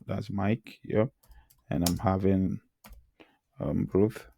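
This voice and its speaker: A hoarse man who says he has lost his voice, teaching programming and talking through the code he types, often clearing his throat. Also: sits low, fairly even intonation, takes it slowly, warm tone, slight background noise. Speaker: programming tutor. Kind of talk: screen-recorded coding tutorial.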